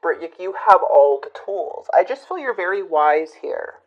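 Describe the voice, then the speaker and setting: Low-voiced woman reading tarot, hoarse. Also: animated, moderate pace, lo-fi sound. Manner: musing, hesitant, talks straight to viewers. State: hoarse after an illness. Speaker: tarot reader. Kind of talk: casual tarot reading to camera.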